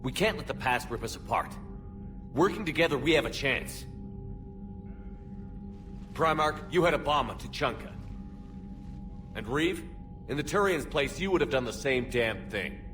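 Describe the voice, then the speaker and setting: A man's voice: mid-range voice, lively intonation, moderate pace, pauses often, close to an everyday voice. A man playing a resolute, authoritative space military commander in a video game cutscene. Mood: angry, forceful. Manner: firm, authoritative. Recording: music behind the voice.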